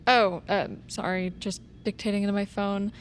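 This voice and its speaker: A woman's voice: mid-range voice, normal speed, slight background noise, close to an everyday voice. Dry, guarded teenage girl played by a woman. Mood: dry, sarcastic. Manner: dry, deadpan sarcasm. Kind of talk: fiction podcast acting.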